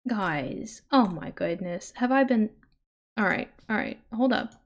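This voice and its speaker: A woman hosting a livestream, chatting casually in an expressive, exclamatory way. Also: medium pitch, unhurried. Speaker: livestream host.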